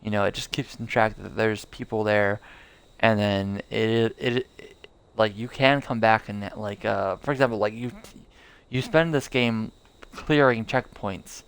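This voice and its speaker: A man's voice: fairly low pitch, steady tone, unhurried, clean tone. A young man streaming a game live, rambling casually with lots of fillers and restarts. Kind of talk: casual live gameplay commentary.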